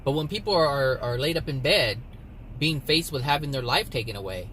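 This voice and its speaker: A man's voice: mid-range voice, lively intonation, fairly quick, low-quality recording. A man giving a rambling, earnest motivational talk for his vlog, repeating his phrases, recorded in a moving car. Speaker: vlogger. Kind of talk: motivational spiritual monologue. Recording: loud car and traffic noise.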